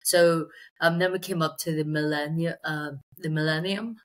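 Low-voiced middle-aged Belfast woman. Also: steady tone, normal speed. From Belfast, Northern Ireland. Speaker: sales executive, interview guest. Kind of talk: conversational personal career story in interview. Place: indoor room on a video call.

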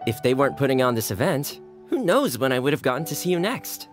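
An easygoing young man, as an actor plays him, with a mid-pitched, gently friendly voice that moves a lot in pitch. He sounds like a game character with faint music behind him. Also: very animated, fast, natural voice. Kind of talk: video game voice acting.

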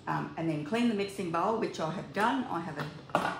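A woman's voice: lowish voice, lively intonation, normal speed. An older woman from Australia hosting a home cooking demonstration, chatting to viewers as she works in her kitchen and picked up by a camera mic at a distance. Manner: chatty, talks to viewers while working. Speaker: cooking video host. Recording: echoey kitchen with appliance noise.

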